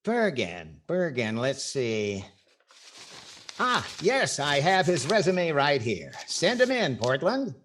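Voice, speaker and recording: A man's voice: on the high side, wildly swooping pitch, slow, noisy background, heavily acted. A man playing a wry, skeptical radio comedy star in 1940s style, with theatrical timing. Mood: businesslike.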